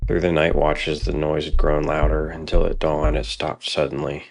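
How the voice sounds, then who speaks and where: A man narrates a dramatic scripted tale. His voice sits extremely low, with a heavy creak in it. The sound is dry, with no room around it. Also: little pitch movement, normal speed, dry recording, soft background music.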